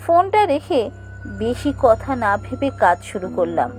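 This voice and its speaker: A woman voicing an anxious young female office worker who tells her ghost story in suspenseful, drawn-out phrases. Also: fairly high pitch, big pitch swings, a little mellow. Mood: subdued. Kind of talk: dramatic first-person horror narration for a cartoon. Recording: music under the voice.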